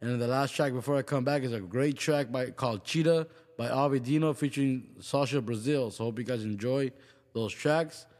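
A man hosting a music radio show, chatting casually and informally with slangy shout-outs. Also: medium pitch, brisk, long reverb tail.

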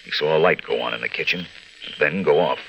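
A man delivering scripted narration as a vintage radio announcer, measured, dramatic and declamatory. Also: a bass voice, gravelly, fairly bright tone, a little hiss or hum, poor audio. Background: music.

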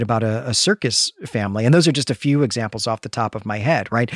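A man's voice: medium pitch, no room sound, clean studio sound. A man hosting a literary discussion podcast, talking in an articulate, discursive and emphatic conversational way. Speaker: podcast host.

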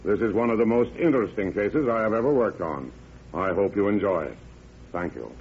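A man's voice: lowish voice, animated, fairly quick, background noise. A man speaking as a guest sheriff, reading a formal scripted address in 1930s radio style. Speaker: county sheriff, guest introducing a case. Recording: dull, husky-sounding old radio recording.

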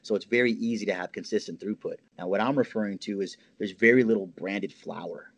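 An American man with a somewhat low voice speaks in a casual, conversational way. He explains things with easy enthusiasm, like a guest laying out his trade in an interview. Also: fairly quick, vocal fry, dry recording. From Hawaii, United States. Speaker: interview guest, cannabis grower and entrepreneur.

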